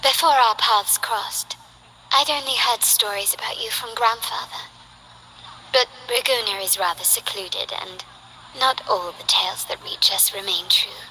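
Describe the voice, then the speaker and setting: A woman playing a poised, ambitious young noblewoman in a video game, speaking in an elegant, formal, measured way. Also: on the high side, sharp tone, slight background noise, low-quality recording. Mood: reflective. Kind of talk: scripted video game character voice lines.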